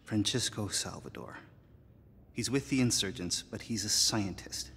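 A man's voice: fairly low pitch, unhurried, creaky, sharp tone, in a large hall, slight background noise, heavily acted. An actor playing an earnest, guarded man in a dramatic video game cutscene. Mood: defensive, urgent. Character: earnest, guarded male insider confessing secrets.

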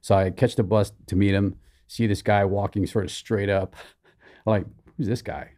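Male podcast guest, middle-aged, from Hawaii, lowish voice, relaxed conversational storyteller. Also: moderate pace. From Hawaii, born in the Philippines. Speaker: podcast guest, military veteran. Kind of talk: casual personal storytelling. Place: small indoor podcast studio. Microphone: studio mic on boom arm.

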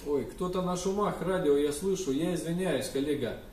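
A man's voice: a little high, moderate pace, a little mellow. Young man on radio, echoey distant room sound. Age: young adult. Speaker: amateur radio operator. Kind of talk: ham radio contact, call signs spelled out. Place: small indoor room with radio desk and computers. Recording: echoey room, distant mic, faint noise.

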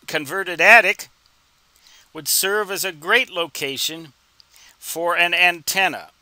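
A man teaches informally in a very sharp, bright voice that sits a little high. His phrasing is deliberate, with a wry, folksy humor. The sound is dry, as from a close microphone. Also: clear, resonant tone, no room sound. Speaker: instructor answering reader questions. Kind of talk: informal explanatory tutorial.

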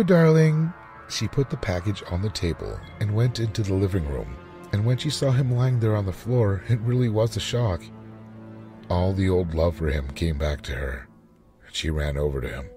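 Deep, lively male storyteller. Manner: dramatic, expressive reading. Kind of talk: scripted short story narration.

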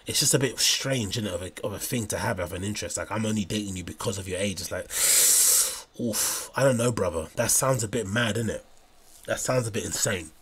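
Man, husky voice, streamer talking loosely between clips. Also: lowish voice, brisk, a bit bright. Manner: rambling, hesitant, many fillers. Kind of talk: casual livestream commentary.

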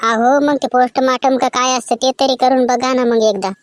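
A woman playing a sassy, quick-witted wife in a cartoon comedy skit. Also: high voice, flat delivery, very noisy, natural voice. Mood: sassy, teasing.

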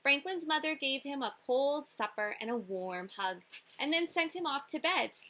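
A young woman reading a picture book aloud to children, with deliberate, expressive phrasing, recorded indoors on a webcam. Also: high voice. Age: young adult. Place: small indoor room with classroom wall displays.

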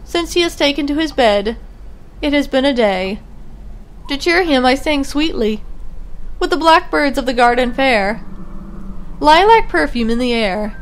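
A woman reading the lines of a gentle, wistful older sister girl aloud from a game, in a lilting voice. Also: sits high, lively intonation, measured pace, long pauses, a little hiss or hum, low-quality recording. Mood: sorrowful. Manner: reads rhyming game dialogue aloud, lilting.

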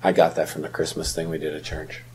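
A man with a husky voice in casual conversation as a podcast co-host. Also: very deep voice, completely flat delivery, fast. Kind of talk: casual chat.

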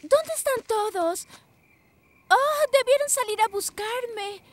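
A woman voicing a timid but kind little girl in an expressive, earnest way for a children's cartoon. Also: extremely high pitch, takes it slowly, heavy background noise. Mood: relieved, friendly. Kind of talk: Spanish-dubbed children's cartoon acting. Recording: music behind the voice.

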